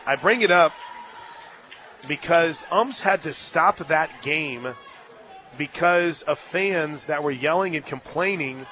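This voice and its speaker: Bright-voiced American man calling softball. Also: slow pace, a little hiss or hum. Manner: animated calls that swell to shouts on big plays, conversational between pitches. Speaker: radio play-by-play announcer. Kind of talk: live sports commentary with casual banter. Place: radio broadcast booth at a ballpark.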